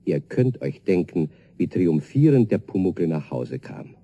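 A man's voice: sits low, big pitch swings, a little slow, warm tone. A man narrating a scripted children's story as a storyteller, addressing his young listeners directly.

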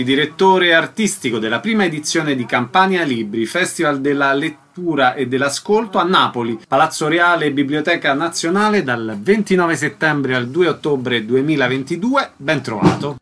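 A man's voice: a little high, expressive, moderate pace, hardly any pauses, fairly bright tone. A man hosting a radio interview, reading announcements and putting short questions to guests.

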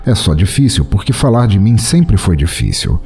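A man with a Brazilian Portuguese accent, hosting a podcast and reading a short story aloud with theatrical, playful pauses. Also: fairly low pitch, sing-song, darkish tone. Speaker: podcast host and story reader.

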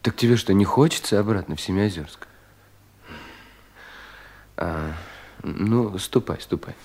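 A young government official in a vintage Russian audio play, brooding and full of self-doubt. The voice sits fairly low. He questions himself theatrically, swelling with agitation and sinking into hushed weariness. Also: a little slow, gravelly, slightly rough, heavily acted. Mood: weary, curious. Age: young adult.

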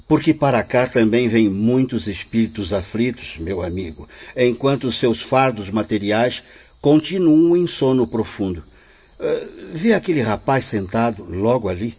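A man playing a calm, benevolent spirit guide in a radio drama, speaking in a solemn, formal, instructive way. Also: mid-range voice, a little slow. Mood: solemn, encouraging. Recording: muffled, lightly noisy radio play audio.

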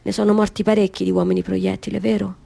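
A woman's voice: mid-range voice, little pitch movement, quick pace, vocal fry, warm tone. An actress playing an eager, apologetic woman performer in a radio drama, speaking in a hesitant, deferential, conversational way. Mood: curious.

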